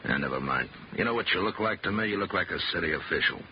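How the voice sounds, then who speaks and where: A deep, gruff gangster boss in a vintage radio crime drama. He is terse and mock-polite, with menace under every line. The recording is muffled, with clear noise. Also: lively intonation, quick pace. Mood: sardonic. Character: scar-faced menacing gangster boss, curt and sardonic. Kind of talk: 1950s radio detective drama acting.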